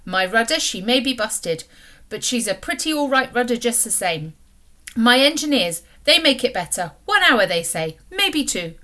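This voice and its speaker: A woman's voice: fairly high pitch, sing-song, normal speed, sharp tone. A woman voicing a cheerful, burly male Russian sea captain with a put-on Russian accent, speaking grand, broken English. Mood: jolly.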